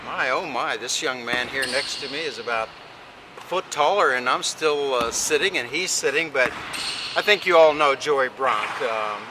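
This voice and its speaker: An American man hosts a conversational sports interview in a voice of average pitch. His phrasing comes out in halting, stretched pieces, and there is clear ambient gym noise around him. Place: basketball fieldhouse.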